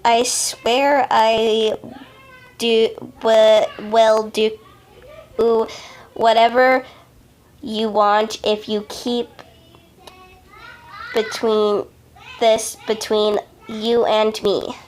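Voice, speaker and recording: Very slow, halting amateur woman reader. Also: fairly high pitch, flat delivery. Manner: halting, stumbling over words, self-correcting. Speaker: amateur fan fiction reader. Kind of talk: halting read-aloud narration of a story.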